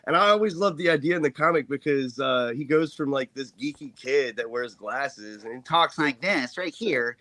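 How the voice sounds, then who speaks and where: A man's voice: medium pitch, normal speed, hardly any pauses, no echo. Easygoing male interviewer. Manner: relaxed, agreeable, reads viewer questions. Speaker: host and interviewer. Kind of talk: casual video chat.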